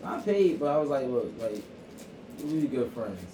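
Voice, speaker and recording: Brief: man, late 20s, relaxed storyteller; mid-pitched voice, off-mic in an echoey room with noise. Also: normal speed, distant mic. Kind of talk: casual chat.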